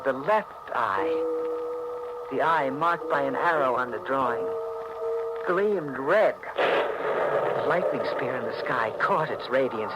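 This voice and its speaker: An actor playing a haunted, intense husband drawn toward murder, narrating a 1940s radio thriller in a dramatic, brooding, halting way. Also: male voice, on the high side, heavy background noise. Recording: old 1940s radio recording with music.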